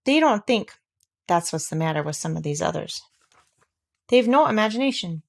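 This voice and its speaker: A woman's voice: fairly low pitch, lively intonation, gravelly. Gloomy grumbling old donkey, read by a woman. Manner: slow, grumbling, mournful.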